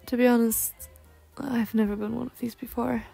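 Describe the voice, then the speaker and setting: A woman's voice: fairly high pitch, little pitch movement, normal speed, vocal fry, mellow, breathy edge, dry recording, faint music behind, exaggerated voice. A young woman voicing a polite, bookish, insecure cougar-girl on a date in an ASMR roleplay, speaking formally with flustered hesitations. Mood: reserved, earnest.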